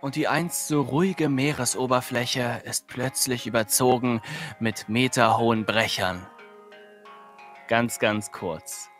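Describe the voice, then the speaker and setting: A man's voice: mid-range voice, slow, fairly bright tone, faint music behind. A man hosting a livestream, moving between casual chat and narration of horror stories. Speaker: livestream host and horror story reader.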